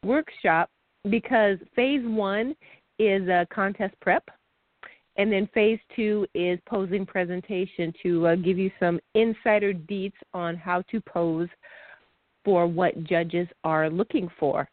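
A woman's voice: mid-range voice, slow pace, no room sound. Chatty woman host on a tinny line. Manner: upbeat, laughs often, chatty. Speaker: podcast host. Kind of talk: casual chat. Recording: dry, tinny phone-like line.

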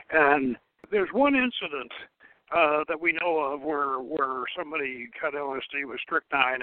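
An older man hosting a radio show, reviewing a book in a monologue that mixes scripted passages with halting asides. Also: fairly high pitch, no echo, narrow, tinny audio.

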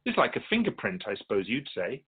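A man playing an otherworldly, patient keeper of souls in a radio drama, speaking in measured, formal phrases with gentle questions. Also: fairly low pitch, huge pitch swings, breathy edge, exaggerated voice. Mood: patient, explaining. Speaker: guest on a radio show. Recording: thin phone-like band-limited audio.

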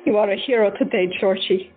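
An actress playing a compassionate woman doctor who talks in a soft, earnest, reassuring way in an audio drama. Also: medium pitch, fairly quick, lightly acted. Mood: tender. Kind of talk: amateur audio drama acting. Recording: tinny phone-like audio, faint music.

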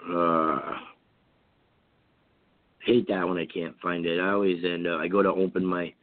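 A hoarse man with a cold from Vermont, hosting a call-in radio talk show in a rambling, casual way with many fillers and restarts. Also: sits low, level tone, unhurried, no echo. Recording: tinny phone-line sound.

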